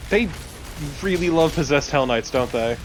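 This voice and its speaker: A man's voice: on the high side, expressive, moderate pace, a little hiss or hum. A man chatting casually as a let's play co-host, blunt and sometimes profane. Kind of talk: casual gaming chat.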